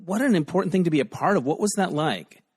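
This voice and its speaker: A man's voice: on the high side, lively intonation, fast, breathy edge. A man hosting a podcast, interviewing a guest in a friendly, encouraging way. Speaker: podcast host. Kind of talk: casual interview.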